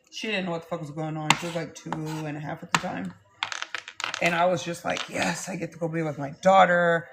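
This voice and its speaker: Blunt woman, gravelly voice. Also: lowish voice, fairly bright tone, slight background noise. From Texas, US. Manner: blunt, swears freely, rambling. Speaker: vlogger. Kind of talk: candid storytelling to camera.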